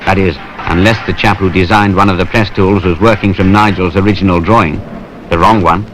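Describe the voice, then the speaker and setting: A man's voice: sits low, steady tone, brisk. A British man giving wry, colloquial, conversational narration for a film. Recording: noisy 1970s industrial film soundtrack.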